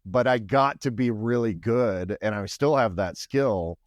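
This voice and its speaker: A man hosting a casual podcast conversation, with a low, deep, loud voice and a talkative, go-getter manner. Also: unhurried, no echo, studio quality. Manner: talkative, go-getter energy, frequent restarts. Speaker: podcast host.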